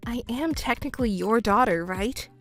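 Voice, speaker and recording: A woman acting a grown stepdaughter in a melodramatic story, speaking in a measured, polite and coolly firm way. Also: a little high, expressive, a bit bright, soft background music. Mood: cool, reproachful. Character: composed, reproachful grown stepdaughter, firm and reasonable.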